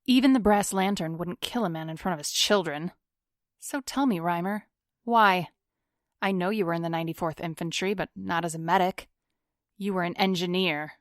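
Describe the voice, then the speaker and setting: A woman's voice: lowish voice, normal speed, no room sound, studio quality, close to an everyday voice. A woman acting a cool, commanding, glamorous vigilante in a pulp radio drama, stern and self-assured with clipped delivery. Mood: cool, confrontational.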